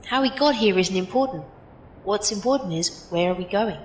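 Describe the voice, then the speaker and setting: A woman's voice: animated, normal speed, close to an everyday voice. Woman doing a blunt breezy tough gal teammate, casual talk, roomy echo, faint noise, audio drama. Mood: blunt, earnest. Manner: casual, folksy, direct. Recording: echoey room with faint noise, rumble.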